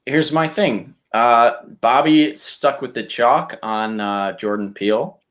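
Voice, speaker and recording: A man chatting casually and reading out pitches as a podcast contestant. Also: slow, fairly bright tone. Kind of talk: casual chat with read-out pitches.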